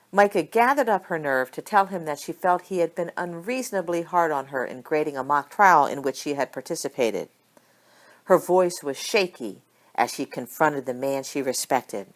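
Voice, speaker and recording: A woman reading a story aloud as an amateur audiobook narrator, with expressive delivery. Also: lowish voice. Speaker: audiobook reader of fan fiction.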